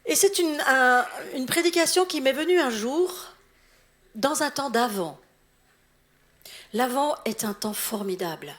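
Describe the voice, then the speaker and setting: A middle-aged woman from Alsace, a visiting church official, preaching a sermon in a chatty way and laughing at her own slips. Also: sits high. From Alsace, eastern France. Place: church hall.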